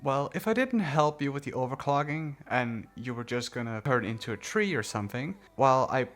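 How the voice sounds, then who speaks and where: Very low-voiced woman reacting to a game. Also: lively intonation, moderate pace. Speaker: gaming streamer reacting to a game story. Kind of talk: casual live reaction commentary.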